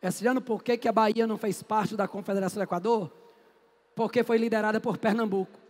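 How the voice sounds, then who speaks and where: Casting note: man, high voice, playful teacher posing and answering his own questions, reverberant classroom. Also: steady tone, fast. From Northeast Brazil. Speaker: history teacher. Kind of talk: lively classroom lecture. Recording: echoey classroom with long reverb.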